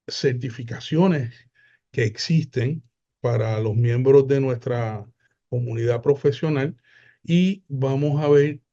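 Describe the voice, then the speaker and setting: A man, presenting a webinar in an unhurried way with frequent hesitant fillers over a video call. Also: mid-range voice, warm tone, highs cut off. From Puerto Rico.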